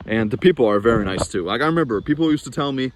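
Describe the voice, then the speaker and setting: A man's voice: mid-range voice, expressive, racing, slight background noise. A young man from Norway talking casually to camera in a vlog, rambling and chatty, with frequent restarts and fillers. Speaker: vlogger.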